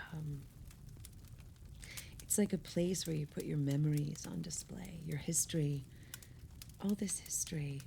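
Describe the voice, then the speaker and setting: An actress playing an effusive, sentimental party guest in a naturalistic audio play, speaking haltingly. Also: female voice, lowish voice, vocal fry, dark tone, a little hiss or hum. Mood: wistful. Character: gushing, sentimental woman guest at a holiday party.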